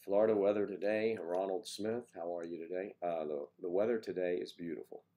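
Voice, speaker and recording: This is an older man with a cold that has made his low voice even deeper and stuffier. He chats casually on his morning livestream, teasing viewers and greeting them by name. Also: a little fast. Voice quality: deep voice. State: congested with a cold. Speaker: livestream host.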